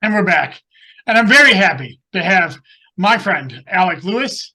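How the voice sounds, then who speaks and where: Halting man hosting a video-call interview. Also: sits high, big pitch swings, sharp tone, slightly rough. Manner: halting, many filler sounds. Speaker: podcast host and elections commissioner.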